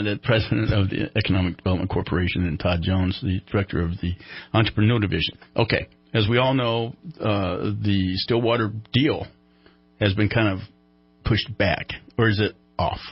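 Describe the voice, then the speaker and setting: A man with a low, slightly rough voice hosts a casual radio interview. He is chatty and wanders through his questions in a joking manner. The audio is a little dull. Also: no room sound. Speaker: radio host.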